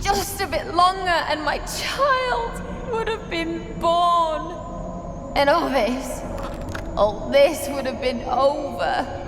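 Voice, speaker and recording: An actress playing a bitter, grieving young widow in a video game, accusing and anguished. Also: female voice, sits high, lively intonation, slow, background noise. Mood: tearful, defensive. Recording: echoey reverberant space with music behind.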